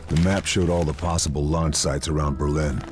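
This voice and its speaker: Very deep, grave war sniper. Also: male voice, steady tone, a little fast, over music. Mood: grim determination. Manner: terse, grave, hard-boiled delivery. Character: weary, steely American WWII sniper recounting his mission. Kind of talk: scripted cinematic game narration.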